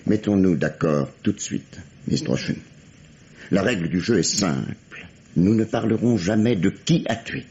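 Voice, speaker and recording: A man's voice: deep voice, unhurried, dark tone. An actor playing a shrewd, middle-aged police detective in a radio mystery, teasing and condescending as he interrogates. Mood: probing, firm. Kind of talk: 1950s French radio mystery acting. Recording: dull, vintage radio drama sound.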